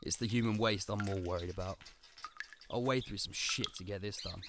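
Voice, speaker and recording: An actor playing a grim, stubborn undercover police detective in a radio drama, with an English accent and a gruff, dry manner. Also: male voice, fairly low pitch, steady tone, fairly quick, noisy background, natural voice. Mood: wry, resigned.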